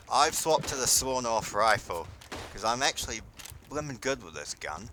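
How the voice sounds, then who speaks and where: A man's voice: medium pitch, big pitch swings, a little slow, background noise. A young man with a New Zealand accent giving casual gameplay commentary and chatting. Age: young adult. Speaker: host of a gaming video. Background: faint game gunfire and artillery.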